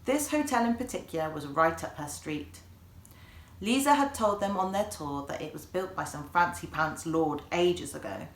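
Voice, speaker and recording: A woman reading a children's story aloud in an animated way, like an author presenting the book. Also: lowish voice, normal speed. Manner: animated, acts out dialogue. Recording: echoey room.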